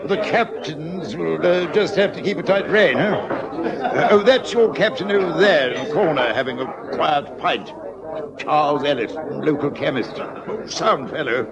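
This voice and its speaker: A man's voice: on the high side, unhurried, vocal fry, muffled recording. A man playing a hearty, gossipy middle-aged colonel with an upper-class British accent and a chummy, blustery manner in a radio drama. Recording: loud pub crowd behind.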